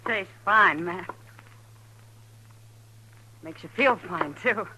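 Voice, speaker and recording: A woman's voice: fairly low pitch, drawn-out words, sharp tone, heavily acted, poor audio. An actress playing a familiar, teasing, slightly tipsy saloon woman of the frontier in an old radio western. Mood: tipsy, enthusiastic. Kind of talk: 1950s radio western acting. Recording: old radio broadcast, hum and hiss.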